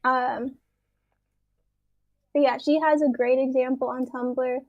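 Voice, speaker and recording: A woman with a high voice talks slowly through a casual presentation, pausing often on ums. The sound is dry and close, as on a video call. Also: level tone, dry recording, narrow, tinny audio. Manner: hesitant, many ums. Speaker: workshop presenter. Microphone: video call with dropouts.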